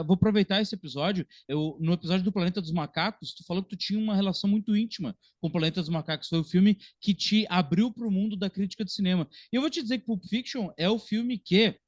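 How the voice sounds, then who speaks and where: A man's voice: high voice, dry recording, studio quality. A Brazilian man in his thirties hosting a podcast, talking about film in an informal, talkative, conversational way. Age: 30s. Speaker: podcast host.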